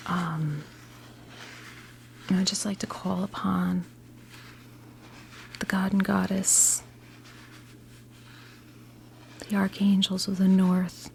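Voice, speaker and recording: A gentle, reassuring witch acted by an American woman in her fifties whispers a tarot role play in ASMR style. The delivery is breathy and unhurried, with soft crackles of fry and soothing pauses. A faint hum sits behind the close voice. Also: mid-range voice. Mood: reverent, calm. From New Jersey, United States. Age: 50s. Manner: slow, soothing, hesitant pauses, encouraging.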